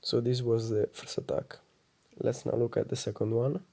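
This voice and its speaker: A man with a low, soft voice giving calm, methodical gameplay commentary as a voice-over. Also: normal speed, gravelly. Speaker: gaming channel host.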